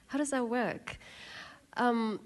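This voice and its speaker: A woman in her thirties from Kenya with a Kenyan accent, telling her life story in a lively talk with asides and acted-out quotes. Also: a little high, slow pace. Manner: lively, laughing, acts out quoted voices. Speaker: speaker giving a talk.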